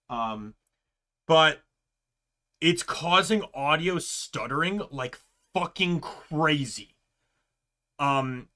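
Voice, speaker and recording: A young man streaming, chatting casually with a loud voice, rambling with lots of fillers and restarts. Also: on the high side.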